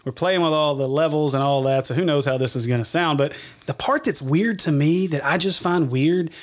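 Man, podcast host, slightly high voice, casual banter, frequent laughter. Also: a little fast. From Arkansas, USA. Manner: laughs often, swears casually. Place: small home studio.

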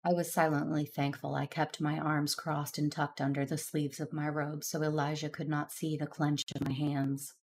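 A woman playing a troubled, stern Jedi in an audio drama, speaking in a solemn, measured and earnest way. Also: low voice, level tone, a little hiss or hum. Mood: wary. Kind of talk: first-person audio drama narration and dialogue.

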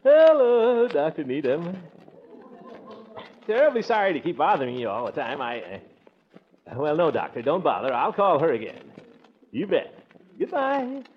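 An American actor playing a pompous, easily flustered middle-aged uncle in a theatrical 1940s radio sitcom style, indignant and sputtering. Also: male voice, on the high side, big pitch swings, normal speed, pauses often. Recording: muffled, noisy 1940s radio recording.

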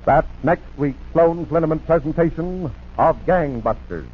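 A man's voice: a little high, unhurried, muffled recording, a little hiss or hum. An American man working as a program announcer in formal, emphatic 1940s radio style, on an old broadcast recording.

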